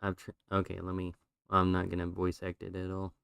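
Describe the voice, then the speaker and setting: A man's voice: low-pitched, fairly quick, creaky, smooth, no echo, studio quality. A man streaming, chatting casually and rambling through his drawings while losing his voice. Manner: relaxed, rambling with fillers.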